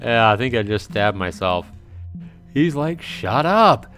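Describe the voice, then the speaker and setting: A man doing casual livestream game commentary, reading dialogue aloud and talking straight to his viewers in an exclamatory, animated way. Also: mid-range voice, unhurried, soft background music. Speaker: livestream host playing a visual novel.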